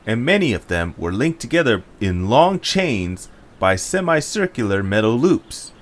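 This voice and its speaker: A man narrating a scripted story for an audio drama. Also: fairly low pitch.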